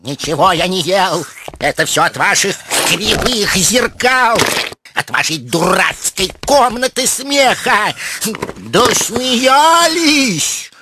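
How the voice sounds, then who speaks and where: Swooping, indignant cartoon ram poet. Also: male voice, high-pitched, expressive, slow, noisy background. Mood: hurt, whining. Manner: indignant, plaintive, dramatic. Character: touchy, offended young male cartoon ram poet. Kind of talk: cartoon voice acting.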